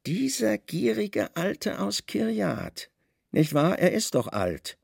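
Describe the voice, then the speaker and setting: A slow, gravelly, commanding Roman governor. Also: male voice, sits high, big pitch swings, no room sound, studio quality, heavily acted. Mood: probing. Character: stern, commanding Roman governor, slyly plotting, middle-aged man. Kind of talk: radio play dialogue.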